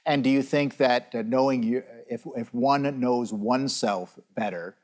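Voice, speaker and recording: A man hosting a TV interview, asking questions in a halting way with hesitations. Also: mid-range voice, a little mellow. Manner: halting, many fillers and restarts when asking. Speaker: TV interview host.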